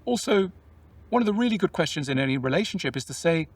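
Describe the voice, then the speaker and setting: A man with a somewhat high voice talks in a measured, explanatory way, often turning questions back on the listener. His voice sits a little away from the microphone, so it sounds slightly distant. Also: lively intonation, slightly rough, no room sound. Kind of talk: reflective conversational talk.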